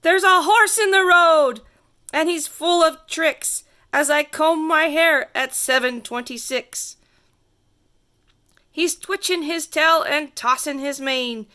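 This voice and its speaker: High, bright woman reading a children's storybook. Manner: theatrical, sing-song, drawn-out emphasis. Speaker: storybook reader. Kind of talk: expressive read-aloud of a rhyming children's picture book.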